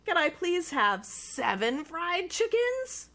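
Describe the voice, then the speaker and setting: A whiny little boy from a storybook who is always starving, his voice pitched up high and squeaky, as a woman reads him aloud. He pleads and begs in a bouncy, lively sing-song. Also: slow, exaggerated voice. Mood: pleading.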